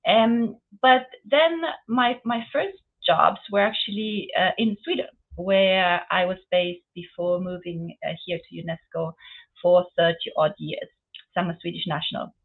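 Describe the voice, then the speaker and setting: Woman, forties, gravelly voice, earnest, filler-heavy, tinny line. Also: fairly low pitch, fairly even intonation, unhurried. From Romania. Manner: earnest, fluent with many fillers. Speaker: panelist, UN office chief. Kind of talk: webinar panel talk. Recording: phone-like narrow line.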